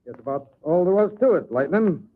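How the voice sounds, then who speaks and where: Brisk western lawman official. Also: a little high, expressive, phone-quality sound. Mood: explanatory. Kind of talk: old-time radio western acting. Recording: thin old radio recording.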